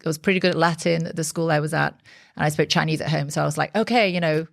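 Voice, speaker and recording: Woman, 40s, founder guest: low, gravelly voice, candid and reflective, close and dry. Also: fairly even intonation, fast, no room sound. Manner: reflective, candid, frequent restarts and fillers. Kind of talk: conversational podcast interview.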